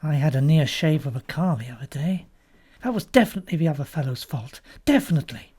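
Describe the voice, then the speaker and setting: A woman's voice: deep voice, expressive, quick pace, warm tone, slightly rough. Woman as young husband, audiobook character: casual, reassuring, practical, varied intonation. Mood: grumbling.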